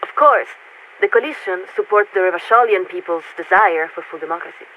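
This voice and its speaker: An actress playing a polite, aloof military signaler in a video game, formal, diplomatic and evasive over a radio filter. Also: female voice, lowish voice. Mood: formal, measured. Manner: formal, measured, diplomatic, speaks as "we". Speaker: warship signaler. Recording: tinny narrowband radio with static.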